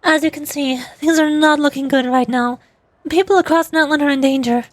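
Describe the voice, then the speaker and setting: Girl streamer doing a serious lady leader, high voice, steady and earnest. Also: normal speed, dry recording, lightly acted. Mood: urgent.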